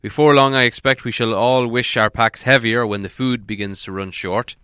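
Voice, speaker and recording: A proud, gruff dwarf leader, acted by a male storybook reader, forceful and loud. He speaks bluntly with a growling manner, recorded close and dry. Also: medium pitch, fairly even intonation, normal speed, no room sound, natural voice. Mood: reassuring.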